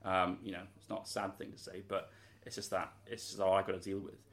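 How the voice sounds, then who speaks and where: A man's voice: deep voice, flat delivery, fairly quick, vocal fry, a bit bright. A young man from Wales, a student answering interview questions in an earnest, thoughtful way, full of hedges and restarts. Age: young adult.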